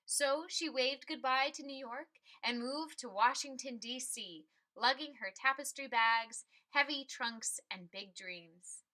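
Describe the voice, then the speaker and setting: A woman reads a picture book aloud in a bright, high voice. Her storytelling is expressive and emphatic, with each phrase clearly set out for listeners. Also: takes it slowly, few pauses, poor audio.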